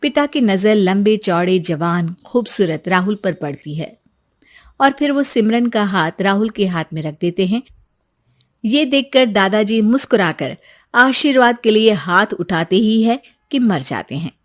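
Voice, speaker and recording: A woman's voice: mid-range voice, lively intonation, a little slow. A woman narrating a scripted story as its storyteller.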